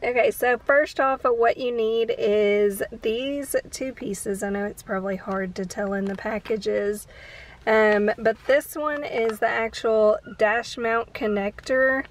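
Higher-voiced American woman, unhurried vlogger. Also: fairly even intonation, slight background noise, poor audio. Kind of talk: casual how-to vlog narration. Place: inside an open-top parked vehicle.

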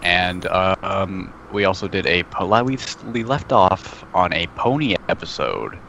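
A man chatting as a podcast guest over a call, halting and apologetic. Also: deep voice, expressive, gravelly, sharp tone. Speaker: podcast guest, web series co-director. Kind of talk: casual podcast chat. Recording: dull, faintly noisy call audio.

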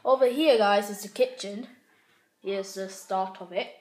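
Slow, chatty English woman on a phone. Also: medium pitch, darkish tone. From England. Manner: chatty, unsure, lots of "like" fillers. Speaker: vlogger giving a house tour. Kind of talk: casual home tour narration. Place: home kitchen and living room, indoors. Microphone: handheld phone camera while walking. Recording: noisy phone audio with handling clicks.

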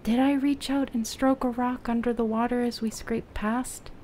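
A woman's voice: a little high, fairly even intonation, moderate pace, warm tone, slight background noise, lightly acted. A woman playing a solitary raft dweller on an ocean world in an audio play, plain-spoken and questioning. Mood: reflective.

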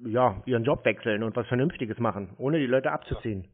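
A man's voice: mid-range voice, fairly quick, breathy edge. A man speaking bluntly and insistently in an unscripted phone confrontation. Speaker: scam-baiting call host. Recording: tinny phone-like radio sound.

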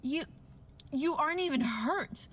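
An actress playing a defiant, frightened woman doctor in an old-time radio drama, speaking deliberately and dramatically. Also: high-pitched, expressive. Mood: alarmed. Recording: very noisy, with clicks.

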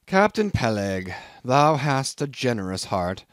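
A man's voice: medium pitch, sing-song, a little slow, no echo, studio quality. A man voicing a stingy, pious old Quaker ship owner in a storybook reading, preaching steadily and solemnly. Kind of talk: audiobook character acting.

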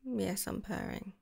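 Woman, ASMR girlfriend roleplay, gushing then whispering, gravelly fry, mid pitch. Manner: gushing and affectionate, shifting to soft whispers.

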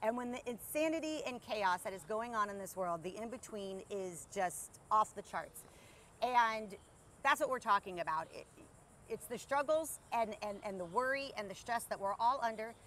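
A woman's voice: mid-range voice, lively intonation, normal speed, fairly bright tone, a little hiss or hum. A middle-aged woman hosting a podcast, speaking passionately with repeated phrases, recorded outdoors in a garden on a lapel mic. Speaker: podcast host. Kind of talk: podcast interview intro.

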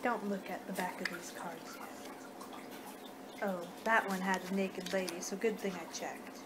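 Slow-paced young woman, noisy ASMR roleplay. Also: mid-range voice, fairly even intonation. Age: young adult. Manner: unhurried, distracted muttering to herself. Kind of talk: ASMR roleplay, improvised reading of fortune cards. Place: small home room, indoors. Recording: noisy amateur webcam-style room recording.

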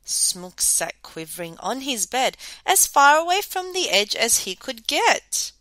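A woman reading a children's story aloud as an expressive storyteller. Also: sits high, unhurried, no room sound.

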